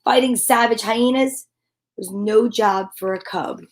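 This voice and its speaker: Slow, theatrical woman storyteller. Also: fairly high pitch. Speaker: host and storyteller. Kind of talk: dramatic children's story reading with comic asides.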